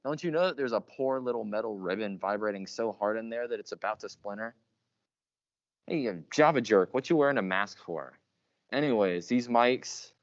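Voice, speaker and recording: A young man playing a grandiose, obsessive radio announcer, theatrical and boastful in a high school radio play. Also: lively intonation, moderate pace, dry recording, lightly acted. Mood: scolding. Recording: dull lo-fi recording.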